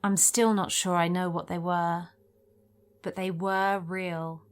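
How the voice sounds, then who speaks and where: A woman's voice: lowish voice, level tone, takes it slowly, clean tone. A woman reading a scripted first-person story as a narrator of scary tales. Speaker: narrator of listener-submitted scary stories.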